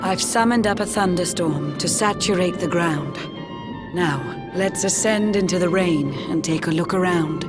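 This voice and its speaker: Woman as slow, solemn low-voiced mermaid queen. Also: natural voice. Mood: solemn, mystical. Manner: stately, measured, grave. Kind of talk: rhyming scripted game narration. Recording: game music behind voice.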